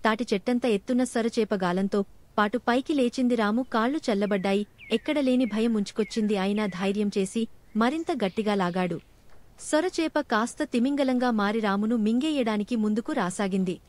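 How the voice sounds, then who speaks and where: A woman's voice: fairly high pitch, fairly quick, a little mellow. A woman reading a scripted children's story as a storyteller. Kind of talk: scripted narration of children's stories.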